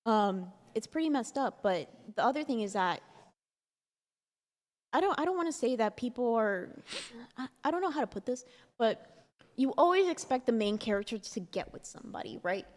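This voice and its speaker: A woman's voice: on the high side, sing-song, normal speed, darkish tone. A woman hosting a casual talk show, rambling along with many "like"s and "um"s. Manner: rambling, filler-heavy, lots of "like" and "um". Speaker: podcast host. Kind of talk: casual chat. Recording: long hall reverb.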